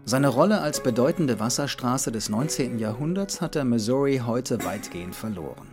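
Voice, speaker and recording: A man's voice: medium pitch, lively intonation, moderate pace, over music. A man narrating a scripted travel documentary as the journey's own reporter.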